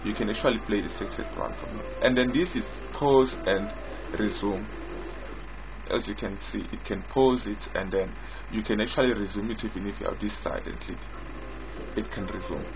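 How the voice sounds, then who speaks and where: A man giving an unscripted software walkthrough as its developer, explaining haltingly and repetitively in short phrases. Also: fairly low pitch, background noise. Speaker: software developer demonstrating own app. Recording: dull lo-fi audio with loud music. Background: loud music.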